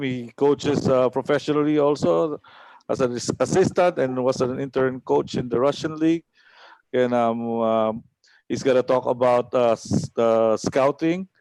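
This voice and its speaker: Male host, mid-pitched voice, halting delivery, dry room. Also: a little mellow, no echo, poor audio. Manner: halting, many fillers. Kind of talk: webinar hosting.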